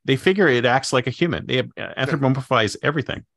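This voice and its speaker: A man's voice: mid-range voice, fast, gravelly, a bit bright, no echo. Agreeable male podcast host, dry close sound. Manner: agreeable, frequent affirming interjections. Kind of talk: casual interview chat.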